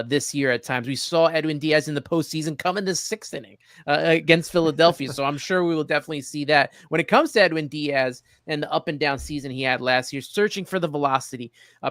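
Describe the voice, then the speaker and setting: Male host, fairly high voice, close dry sound, organized presenter delivery for sports talk. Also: a bit bright, dry recording. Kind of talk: sports talk hosting, reading chat and stats.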